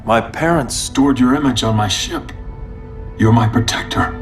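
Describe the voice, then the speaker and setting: An actor playing a grave superpowered man who speaks quietly and sincerely. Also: medium pitch, a little slow, lightly acted. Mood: moved. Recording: game cutscene with music.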